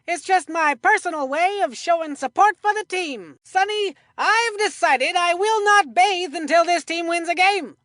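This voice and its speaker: A woman voicing an excitable, folksy, opinionated kid sports commentator in a scripted cartoon baseball game. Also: extremely high pitch, a little slow, hardly any pauses, no echo, studio quality, heavily acted. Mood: eager, opinionated. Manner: folksy, emphatic, addresses a broadcast partner.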